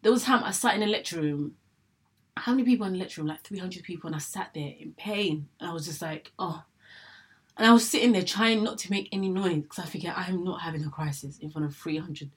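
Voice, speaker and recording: A woman's voice: lowish voice, quick pace, breathy edge. A young woman from England talking candidly to camera about her own life, chatty, often repeating herself and acting out her past thoughts. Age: young adult. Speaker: vlogger sharing her illness story. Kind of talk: candid personal monologue.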